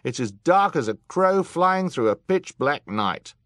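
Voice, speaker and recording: A man voicing agitated, suspicious hotel guests who speak in loud, accusing outbursts in an audiobook. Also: lowish voice, big pitch swings, moderate pace, no echo, studio quality, pitched above the performer's own voice.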